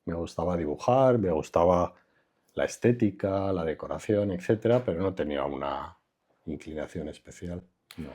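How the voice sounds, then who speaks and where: Low, husky-voiced older man. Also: expressive, a little mellow. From Madrid, Spain. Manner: reflective, unhurried, trails off with fillers. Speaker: architect, interview guest. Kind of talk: relaxed conversational interview.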